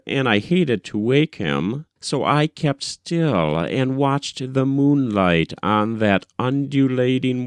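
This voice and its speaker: A man narrates literary fiction in a smooth, clear voice. His delivery is unhurried and deliberate, with lively swings of pitch and dramatic phrasing. The recording is close and dry. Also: dry recording. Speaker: audiobook narrator. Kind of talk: expressive literary narration, reading aloud.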